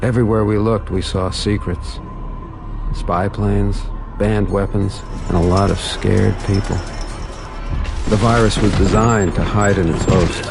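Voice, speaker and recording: Low-voiced, brooding soldier narrator. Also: male voice, vocal fry. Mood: somber, measured. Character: grim, weary male special-ops soldier recounting a mission. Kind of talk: scripted first-person game narration.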